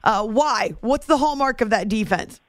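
A woman's voice: a little high, lively intonation, normal speed, no room sound, studio quality. A woman hosting a sports radio show, interviewing a guest in a conversational way.

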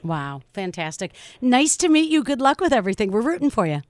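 A woman's voice: fairly high pitch, sing-song, brisk, no echo. Chatty woman radio host, dry studio sound. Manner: chatty, enthusiastic. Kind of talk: morning show interview.

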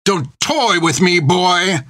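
A man's voice: medium pitch, lively intonation, slow pace, dry recording, heavily acted. Man playing a furious old pirate captain, spitting threats, dry close sound. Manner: seething, spits words, shouting threats. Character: menacing scarred pirate captain, older man, cruel.

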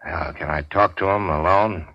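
An actor playing a weary, sardonic gangster in a 1940s radio drama, terse and slangy. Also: male voice, sits low, normal speed, no room sound. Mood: defiant, deadpan. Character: weary hard-boiled gangster, wounded, sardonic and unafraid. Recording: dull 1940s radio recording.

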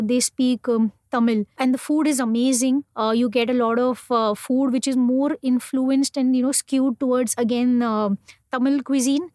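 A woman's voice: high-pitched, darkish tone, no echo, clean studio sound. An Indian woman recounting her travels in an interview, chatty, with lots of fillers and restarts. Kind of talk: casual conversational storytelling.

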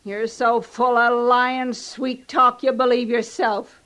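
High-voiced, scornful rural American frontier woman. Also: flat delivery, slow, fairly bright tone. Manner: sarcastic, scolding, folksy. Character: bitter, scornful, hard-bitten older frontier laundress. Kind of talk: old-time radio drama acting.

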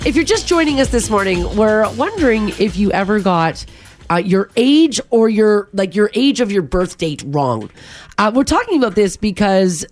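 A woman co-hosting a morning radio show, chatting lively, laughing often and reading listener texts and phone numbers. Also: medium pitch, normal speed, soft background music.

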